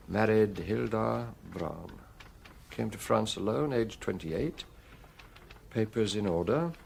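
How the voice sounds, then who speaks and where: An actor playing a calm, shrewd middle-aged police chief with a British accent and a measured, dry, authoritative manner in a radio drama. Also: male voice, lowish voice, creaky, slight background noise, exaggerated voice. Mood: grimly focused.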